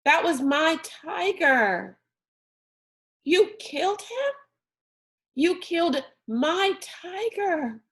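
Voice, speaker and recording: A woman voicing a wounded, furious young boy in a storybook with emotional, drawn-out outbursts. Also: very high voice, very animated, a bit bright, pitched above the performer's own voice, full character voice. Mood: shocked anger.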